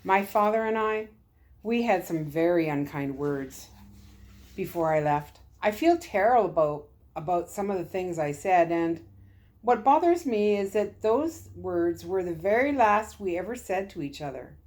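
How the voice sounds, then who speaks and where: A woman's voice: on the low side, expressive, measured pace, a little mellow. A woman voicing a troubled young girl in a story, earnest and hesitant. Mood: scared, regretful.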